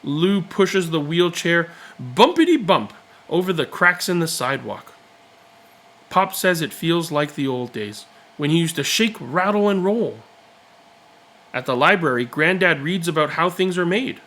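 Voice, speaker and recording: A man reading a children's picture book aloud, with expressive, deliberate and dramatic phrasing. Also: a little high. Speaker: read-aloud storyteller.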